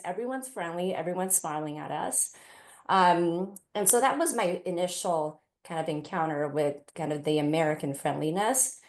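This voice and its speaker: A middle-aged woman with a notably low voice shares personal memories as a panelist. She sounds like she is in a roomy space, with clear echo around her words. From Taiwan, then California from age eight. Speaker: parent panelist. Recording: roomy, echoey event space.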